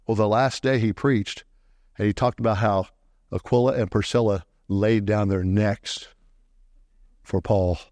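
A man preaching a sermon into a microphone in a church, animated, often swinging his voice up high to stress a point. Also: unhurried, no echo, clean studio sound.

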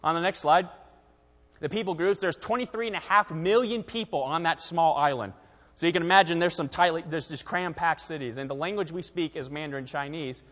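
A young man who grew up in Taiwan speaks to a church congregation, presenting missionary slides in an earnest, conversational way. His voice sits fairly high for a man. The sound carries a long reverb from a church hall. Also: fairly quick, a bit bright. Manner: earnest, conversational, frequent fillers.

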